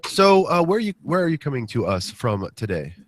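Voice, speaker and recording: A man's voice: on the high side, sing-song, a little fast, background noise. A man hosting a podcast interview, congested from a cold, upbeat and joking with plenty of fillers. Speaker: podcast host.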